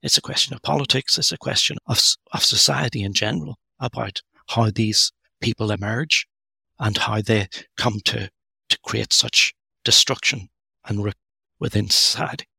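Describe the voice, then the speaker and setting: A middle-aged man from Ireland, speaking as a guest author in a podcast interview, thoughtful and halting with frequent restarts. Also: on the low side, creaky, sharp tone, breathy edge, no room sound. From Northern Ireland.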